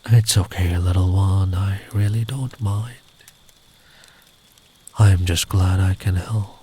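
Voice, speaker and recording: A man playing a big, kind traveller in the woods in an ASMR comfort roleplay, speaking gently and soothingly, often close to a whisper. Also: low voice, steady tone, takes it slowly. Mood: reassuring. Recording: clear background ambient noise.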